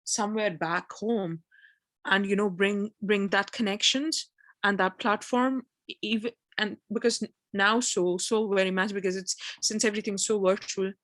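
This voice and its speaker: A woman's voice: medium pitch, steady tone, moderate pace, fairly bright tone, no echo. Woman with a Pakistani accent, hesitant delivery. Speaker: PhD student and game developer, event participant. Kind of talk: informal comment in an online group discussion.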